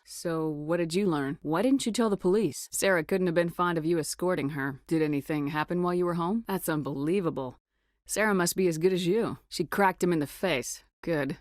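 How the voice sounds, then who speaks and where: Low-voiced, commanding military woman, voiced by an actress. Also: no echo, studio quality, close to an everyday voice. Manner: firm, clipped, authoritative, dryly wry. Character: tough, commanding female military officer, dry and resolute. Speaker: starship commander in a science fiction game. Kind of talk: video game voice acting, dramatic dialogue.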